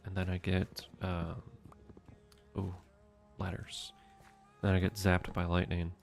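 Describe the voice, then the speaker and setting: A young man streaming a game from home, chatting with his viewers in a soft, meandering way. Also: deep voice, completely flat delivery, slow, vocal fry, soft background music. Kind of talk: casual gameplay commentary.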